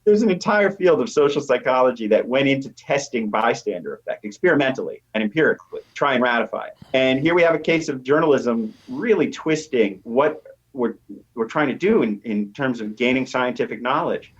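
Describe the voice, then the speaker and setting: Male expert guest, mid-pitched, assured explanatory interview talk, somewhat muffled lo-fi recording. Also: lively intonation, fairly quick. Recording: dull, lo-fi audio.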